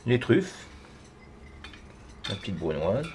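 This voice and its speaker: A man's voice: fairly low pitch, very animated, takes it slowly. A middle-aged man giving a casual, step-by-step cooking demonstration as a chef, talking informally while he works. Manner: informal, talking while working with his hands. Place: professional restaurant kitchen, tiled, indoors. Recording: clearly noisy kitchen with clattering dishes. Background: loud kitchen ambience, clattering pans and cutlery.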